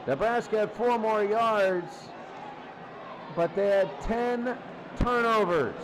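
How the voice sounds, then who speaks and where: A high-voiced man gives play-by-play football commentary over clearly noisy sound. He takes his time and repeats key phrases to drive them home. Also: takes it slowly, crowd noise. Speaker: play-by-play sports announcer. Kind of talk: live American football radio-style commentary.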